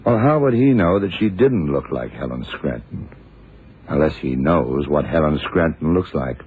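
Shrewd FBI investigator, rock-bottom pitch, hard-boiled, phone-like vintage radio sound. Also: male voice, lively intonation, moderate pace, husky, exaggerated voice. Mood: suspicious. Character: shrewd, dogged FBI investigator Lee, a man. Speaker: lead investigator in a crime drama. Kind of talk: 1960s radio drama acting. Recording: muffled, noisy old radio broadcast recording.

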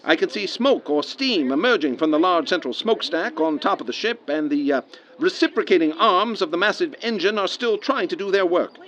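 High-voiced vintage radio field reporter. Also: male voice, animated, a little fast, fairly bright tone. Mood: concerned, observant. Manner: descriptive, hesitant, earnest. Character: earnest 1930s male radio field reporter at a disaster. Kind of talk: 1930s live radio news commentary. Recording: dull vintage radio sound.

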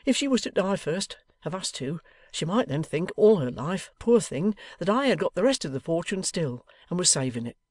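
Man playing a meek, despondent, high-voiced older husband. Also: sing-song, no echo. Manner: slow, sad, gentle, tame. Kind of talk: dramatised audiobook character voice.

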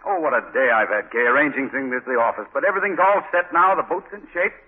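An actor playing a wealthy, impatient, jealous and domineering lover, brusque and demanding, in 1940s radio drama style. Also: male voice, mid-range voice, fast, phone-quality sound, natural voice. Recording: thin, noisy 1940s radio recording.

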